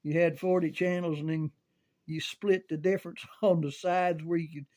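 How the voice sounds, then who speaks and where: An older American man reminiscing casually in a halting, folksy way, often repeating words as he goes. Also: fairly high pitch, steady tone, mellow. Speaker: storyteller sharing recollections of CB radio and volunteer rescue.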